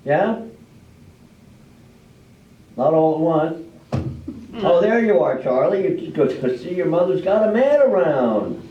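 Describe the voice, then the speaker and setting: A man's voice: fairly high pitch, sing-song, slow pace, pauses often, a little hiss or hum. Man playing a folksy village official, distant and echoey. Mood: brisk, folksy. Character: brisk folksy village official running a lottery drawing. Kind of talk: amateur readers theater stage play. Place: live performance in a library room. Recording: roomy, distant, dull live library recording.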